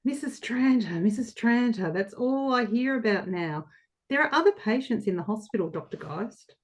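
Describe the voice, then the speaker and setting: A woman playing a brisk, no-nonsense and slightly dim hospital assistant in a comic play, matter-of-fact and nagging. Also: on the high side, lively intonation. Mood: brisk, exasperated. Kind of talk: comic stage play reading.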